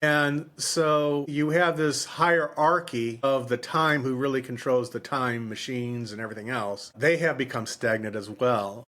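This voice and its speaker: A man's voice: medium pitch, steady tone, a little slow, few pauses, a bit bright. A man co-hosting a podcast in a casual book discussion, rambling and often repeating himself. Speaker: podcast co-host.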